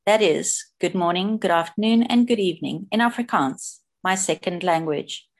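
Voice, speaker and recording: A woman's voice: on the low side, normal speed. South African woman telling her story. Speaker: intergroup chair sharing her story. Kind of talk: personal share on a video call. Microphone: video call.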